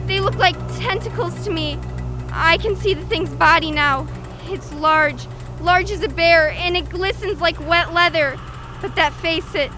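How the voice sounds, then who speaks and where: A woman playing an eager, brisk radio field reporter in a live news report from a radio play. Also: very high-pitched, pushed and bright. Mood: alarmed, horrified.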